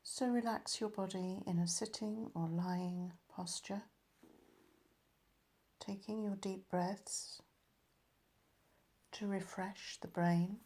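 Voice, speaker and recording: A woman leading a guided meditation as a teacher, calm and measured, letting her phrases end softly. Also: medium pitch.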